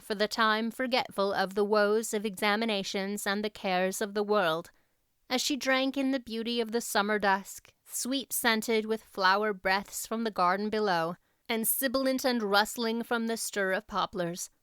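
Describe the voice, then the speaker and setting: A woman reading a novel aloud as an audiobook narrator, in expressive scripted storybook style. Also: mid-range voice, normal speed, clean tone, no room sound, studio quality.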